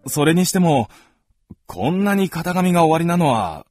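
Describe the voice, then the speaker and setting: A man playing a gentlemanly visitor who narrates his recollections in a literary radio drama. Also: on the high side, sing-song, moderate pace, no echo, clean studio sound, faint music behind. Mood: reflective.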